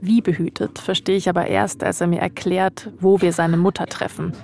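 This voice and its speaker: Woman doing podcast storytelling, kinda low voice w some vocal fry. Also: little pitch movement, moderate pace, darkish tone, a little hiss or hum. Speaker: podcast host and reporter. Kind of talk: scripted storytelling narration mixed with casual interview questions.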